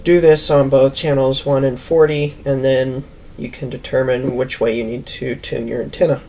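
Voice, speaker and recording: A man's voice: mid-range voice, level tone, normal speed, dark tone. A man giving a hands-on tutorial, explaining things step by step in a plain, methodical way. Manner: plain, methodical instructional delivery. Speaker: presenter of a how-to video. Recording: clear background noise, vehicle ambience.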